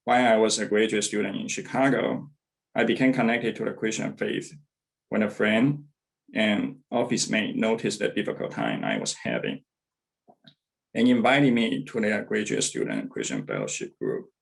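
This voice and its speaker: A man from Taiwan delivers a prepared church testimonial in a measured, careful way. His voice sits low, with a gravelly edge. He sounds like he is speaking on a video call. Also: big pitch swings, rough recording. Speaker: church member giving testimonial.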